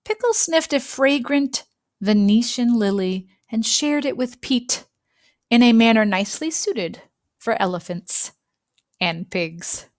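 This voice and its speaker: A woman reading a children's picture book aloud with expressive, dramatic phrasing and deliberate pauses. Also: on the high side, dry recording, studio quality. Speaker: storybook reader.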